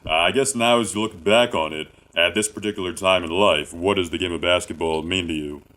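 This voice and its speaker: A man with a low voice hosts an interview, asking his questions in a measured, thoughtful way. His tone is bright and forceful. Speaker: interview host.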